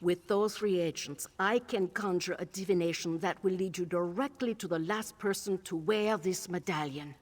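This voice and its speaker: A woman voicing a cryptic old witch in a video game, wry and measured in an archaic way of speaking. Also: lowish voice, lightly acted. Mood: matter-of-fact. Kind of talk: scripted video game dialogue. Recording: long reverberant tail on the voice.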